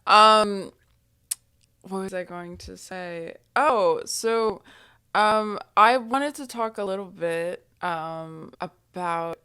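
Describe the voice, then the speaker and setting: A woman's voice: medium pitch, slow, sharp tone, clean tone, no echo, studio quality. A woman host from New Jersey, asking questions in a casual interview, hesitant and full of ums. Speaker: YouTube host.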